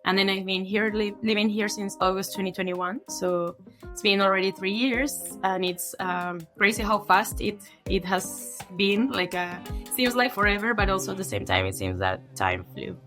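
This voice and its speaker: A woman's voice: mid-range voice, animated, moderate pace, a bit bright, soft background music. A young woman from Peru, a podcast guest who tells her story in a chatty way, with many fillers. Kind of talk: conversational storytelling.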